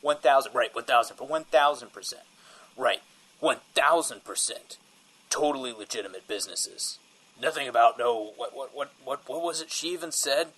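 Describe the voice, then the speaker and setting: A man's voice: mid-range voice, animated, moderate pace, fairly bright tone, poor audio. A man playing a shifty, defensive field reporter in a comedic audio drama, rambling and repeating himself evasively.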